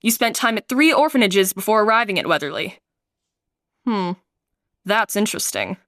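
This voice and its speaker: A woman's voice: medium pitch, sing-song, fairly bright tone, dry recording, clean studio sound. A woman voicing a cold, manipulative male orphanage director in a radio play. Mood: menacing. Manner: slow, threatening, bargaining.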